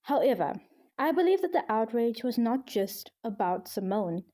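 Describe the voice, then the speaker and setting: A woman from South Africa narrating a scripted video essay in a measured, explanatory way. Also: fairly high pitch, sing-song, mellow.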